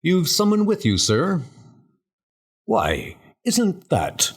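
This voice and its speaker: A man's voice: high-pitched, huge pitch swings, takes it slowly, husky, pitched above the performer's own voice, heavily acted. A man voicing a devoted, dignified older butler in a comic book audio drama, formal and genteel in manner. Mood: relieved, curious.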